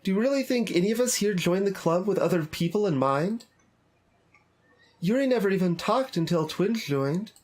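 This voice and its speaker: A man's voice: sits high, expressive, normal speed, a little mellow, voice pushed up. A man voicing a blunt, argumentative teenage schoolgirl while reading visual novel dialogue. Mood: blunt, insistent. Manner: earnest, argumentative. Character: blunt, assertive teenage schoolgirl in a literature club. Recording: game music behind the voice.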